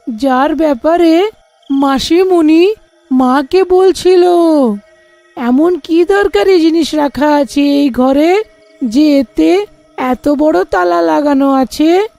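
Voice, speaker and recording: A woman's voice: sits high, drawn-out words, long pauses, mellow, no echo, faint music behind, full character voice. A woman voicing a curious young boy, a whiny, childlike little brother in a cartoon story.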